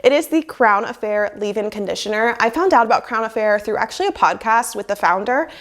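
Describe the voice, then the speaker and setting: A woman's voice: medium pitch, lively intonation, brisk. A young woman in her twenties, sick with a cold and sounding nasal, chatting upbeat to camera in a small room on a lapel mic, with lots of "like" fillers. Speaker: lifestyle vlogger. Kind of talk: casual chat to camera. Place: small bedroom office indoors. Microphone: lapel mic plugged into a phone.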